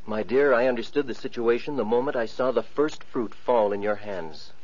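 A man's voice: mid-range voice, normal speed, a little mellow, close to an everyday voice. A man playing a gallant young prince, courtly and commanding, in a 1940s radio play. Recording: muffled old radio broadcast.

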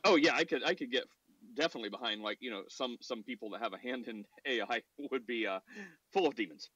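A man's voice: mid-range voice, fast talker, pushed and bright, husky, no echo. A man hosting a casual podcast discussion, asking long rambling questions in a teasing manner. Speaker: podcast host. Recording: dull dry lo-fi mic.

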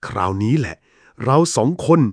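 A man voicing a greedy, easily frightened ghost hunter in a cartoon, who holds the camera and complains loudly. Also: normal speed, dry recording, studio quality, close to an everyday voice. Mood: griping. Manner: cowardly, loud, complaining. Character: jumpy, greedy elder ghost-hunting cameraman. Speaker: cartoon voice actor.

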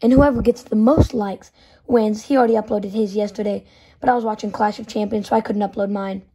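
A woman with a hoarse voice from yelling, counting down her toy figure collection in a loose, chatty way, with plenty of "um" and "so yeah", filmed on a phone in a small indoor room. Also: medium pitch, steady tone, fairly quick, low-quality recording. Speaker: toy collector channel host. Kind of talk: casual video countdown.

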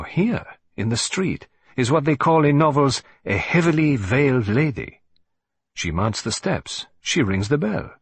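A man's voice: medium pitch, husky. A man voicing a fussy, vain older male detective with a put-on French accent, in a precise, self-satisfied manner. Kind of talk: audiobook reading.

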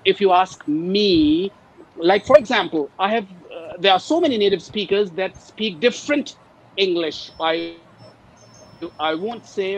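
A man whose first language is Urdu, an ESL teacher speaking over a video call in a halting, hesitant way. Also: sits high, dull audio, slight background noise. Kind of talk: panel discussion. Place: teaching abroad.

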